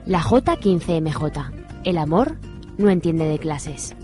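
A woman's voice: sits low, expressive, slow, music in the background. A woman with an accent from Spain reading scripted narration for a radio soap.

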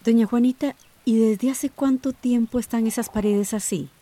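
A woman acting in a radio soap as a calm, courteous housing inspector. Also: fairly high pitch, moderate pace, natural voice. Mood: calm, measured.

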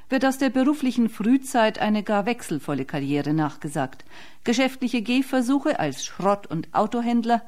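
Mid-pitched woman narrating a radio feature. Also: a little mellow. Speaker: documentary narrator.

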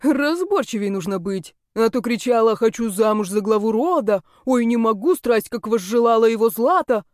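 A woman's voice: medium pitch, moderate pace, mellow, no echo, studio quality, close to an everyday voice. A woman voicing a brash, loyal young male naga, slangy and protective, in a fantasy audiobook. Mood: indignant.

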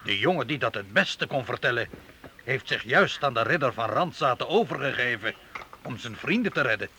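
An actor playing an elderly guild master, an earnest and deferential but upright burgher, in a radio drama. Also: male voice, medium pitch, animated, normal speed, sharp tone, slight background noise. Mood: earnest, regretful. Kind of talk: historical radio drama acting.

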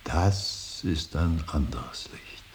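Grieving older dad in a radio play, super low and really slow, solemn, halting, drops to whispers. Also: male voice, lively intonation, exaggerated voice. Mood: hushed, musing. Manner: halting, weighty declamation, dropping often into breathy whispers. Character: grieving older father, a painter and professor, brooding, solemn. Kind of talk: German radio drama acting, solemn monologue. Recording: hissy, faintly noisy radio recording.

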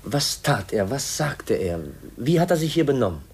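An actor playing an earnest, pensive young heir in a 1950s German radio drama, polite and probing. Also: male voice, medium pitch, very animated, normal speed, darkish tone, breathy edge, exaggerated voice. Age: young adult. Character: earnest, brooding young heir seeking his uncle's secret. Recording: noisy 1950s radio recording.

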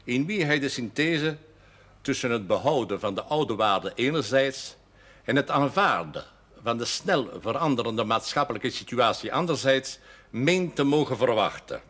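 Husky, formal elderly parish priest. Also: male voice, mid-range voice, expressive, a bit bright. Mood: firm, authoritative. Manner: measured, formal speech sprinkled with French phrases. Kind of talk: 1970s television drama acting.

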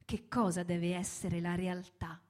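A woman giving a measured, solemn dramatic reading of literary prose. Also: lowish voice, level tone, darkish tone. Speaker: reader in a radio reading performance. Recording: music behind the voice. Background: instrumental music.

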